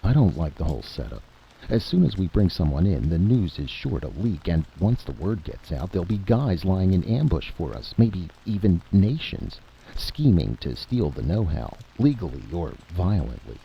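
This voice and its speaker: A man's voice: low-pitched, very animated, normal speed, creaky, highs cut off, a little hiss or hum, heavily acted. A man voicing a gloomy, wary ex-ambassador turned adventurer who complains bluntly in a story reading. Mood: worried.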